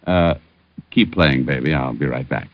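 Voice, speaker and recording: A man playing a tough, world-weary private detective with wry deadpan delivery in old radio noir. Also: extremely low pitch, moderate pace. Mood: firm, needling. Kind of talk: 1940s-50s radio noir acting and narration. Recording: dull lo-fi old radio, music behind.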